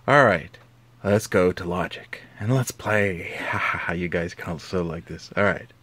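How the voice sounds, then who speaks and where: Low-voiced man giving a casual tutorial. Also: measured pace, fairly bright tone, breathy edge. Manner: informal, conversational explaining. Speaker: host of an audio tutorial.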